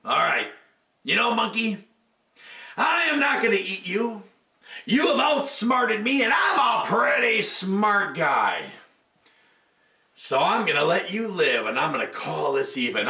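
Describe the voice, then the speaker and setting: Brief: gruff, sore-loser male crocodile, played by a man, blustery, bright and lively, echoey room. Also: high-pitched, a little slow, recorded from a distance, exaggerated voice. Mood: grudging. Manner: grumbling, blustering.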